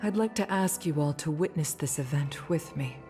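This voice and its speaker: A woman voicing a low, solemn female commander. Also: music in the background, close to an everyday voice. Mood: solemn, grieving. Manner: formal, measured, grave. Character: dignified mature female commander, solemn and restrained. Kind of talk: video game voice acting.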